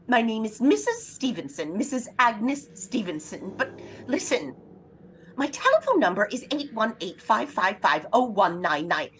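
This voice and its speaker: High-pitched frantic, imperious invalid wife. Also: female voice, slight background noise. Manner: agitated, demanding, melodramatic. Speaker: lead actress in an audio drama. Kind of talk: throwback radio thriller acting.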